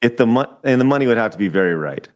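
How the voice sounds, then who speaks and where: A man's voice: mid-range voice, lively intonation, racing. A man in his forties, a podcast guest chatting casually over a call, often repeating words and restarting his sentences. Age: 40s. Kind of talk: casual chat. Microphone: remote call audio.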